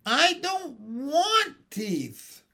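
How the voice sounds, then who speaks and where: Storybook infant: male reader as a wilful little boy, plaintive, high and slow. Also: animated, gravelly, darkish tone, full character voice. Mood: furious. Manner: plaintive, wailing. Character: wilful, upset baby boy twin.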